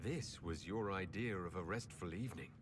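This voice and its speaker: An actor playing a composed, gallant male leader in a video game, measured and courteous. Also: low-pitched, background noise, lightly acted. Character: composed, gallant heroic leader, adult man. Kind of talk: video game cutscene dialogue.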